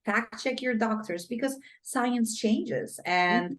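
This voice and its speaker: A middle-aged woman from Poland, a brain coach giving an upbeat, expressive podcast interview as the guest. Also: fairly high pitch, slow pace.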